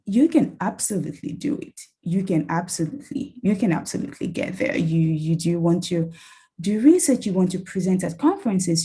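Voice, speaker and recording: A young woman from Nigeria, a nurse researcher speaking with a soft Nigerian-tinged accent, giving an online talk with many ums and restarts in an earnest, encouraging way. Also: mid-range voice, breathy edge. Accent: Nigerian-tinged English. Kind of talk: online academic talk with slides.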